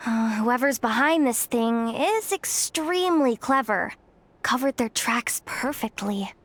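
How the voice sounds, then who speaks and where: A woman's voice: sits high, a bit bright, slight background noise, full character voice. An actress playing a frank, exasperated young woman investigator in a video game, speaking in a wry, conversational way. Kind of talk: video game dialogue acting.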